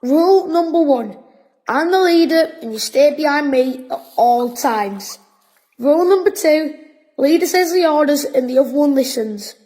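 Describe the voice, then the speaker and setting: A woman's voice: high voice, lively intonation, normal speed, warm tone, rough recording. A woman playing a bossy, boastful wartime boy who tells spooky tales, in an audio drama. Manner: officious, storytelling.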